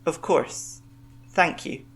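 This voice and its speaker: An actor playing a gentle, formal bear-like alien medic in a science-fiction audio drama. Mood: polite, reserved. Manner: polite, measured, formal.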